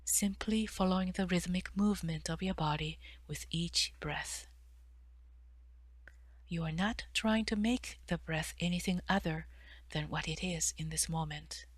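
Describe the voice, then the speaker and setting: Woman softly guiding meditation, lowish voice. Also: unhurried, dry recording. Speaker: meditation guide, therapist. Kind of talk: guided meditation narration.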